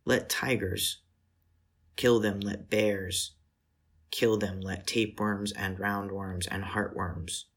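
Low, husky-voiced man reading literature. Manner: measured, deliberate phrasing with pauses. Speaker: poet reading selected poems.

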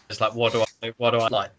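A man's voice: medium pitch, completely flat delivery, brisk, muffled recording, background noise. A man hosting a podcast, chatting casually about a book in an irreverent, sweary way. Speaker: podcast host. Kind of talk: casual book discussion chat.

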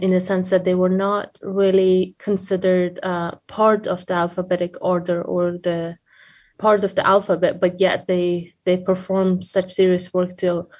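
Woman, Afghan accent, native Persian speaker, lowish voice, flat intonation, hesitant, reflective, interview guest. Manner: hesitant, searching for words, reflective. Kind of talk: thoughtful interview answers.

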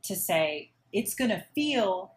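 A woman's voice: mid-range voice, big pitch swings, slow. A young adult woman, a classically trained opera singer, chatting casually and often stopping mid-sentence to restart. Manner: chatty, self-interrupting, laughs often. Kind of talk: casual chat.

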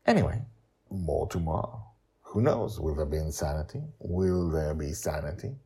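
A man's voice: extremely low pitch, expressive, normal speed, creaky, warm tone. A man hosting a story podcast as author and narrator, theatrical and playful, leaning hard on his words with dramatic emphasis.

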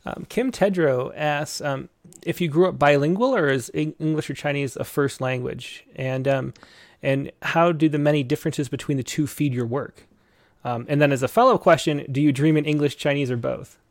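A man with a fairly high, gravelly voice hosting an interview. He reads listener questions and muses aloud in long, loose sentences. The sound is close and dry. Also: moderate pace, no echo. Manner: musing, loosely phrased questions. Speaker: podcast host.

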